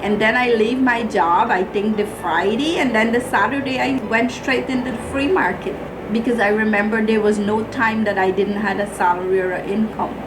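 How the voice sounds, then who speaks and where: A woman's voice: fairly high pitch, steady tone, normal speed, hardly any pauses, background noise. A middle-aged woman with a Guyanese accent tells her own story in plain-spoken, colloquial interview answers. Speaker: small business owner being interviewed. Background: music.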